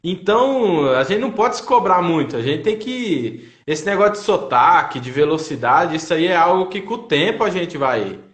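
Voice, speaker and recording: Man, Brazilian accent, livestream host, echoey room, slightly high pitch, casual colloquial storytelling. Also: very animated, normal speed, hardly any pauses. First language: Portuguese. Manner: colloquial, anecdotal. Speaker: host of a language-learning livestream. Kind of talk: casual chat. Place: indoors, online live video call.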